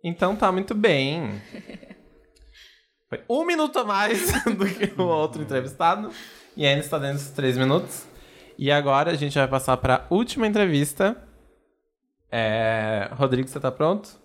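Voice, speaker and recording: A Brazilian man with a Brazilian accent, running a comic mock job interview in a brisk, teasing way. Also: on the high side, expressive. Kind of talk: improv comedy game.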